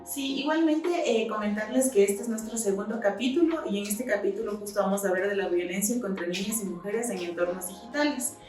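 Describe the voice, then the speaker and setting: A woman's voice: medium pitch, fairly quick, hardly any pauses, darkish tone. A woman co-hosting a podcast, talking loosely with many fillers and restarts. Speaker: podcast co-host. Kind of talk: casual podcast chat. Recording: echoey room, distant mic, faint music.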